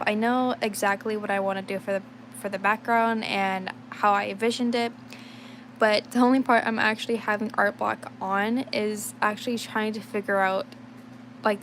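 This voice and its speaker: Slightly raspy sick young woman streamer. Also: medium pitch, a little hiss or hum. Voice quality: slight rasp. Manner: rambling, thinks aloud, many fillers. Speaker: livestreaming digital artist. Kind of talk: casual chat while drawing on stream.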